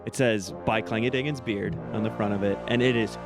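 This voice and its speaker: A man's voice: lowish voice, fairly even intonation, fairly quick, over music. A man playing a tabletop role-playing game, describing things in casual, unscripted talk.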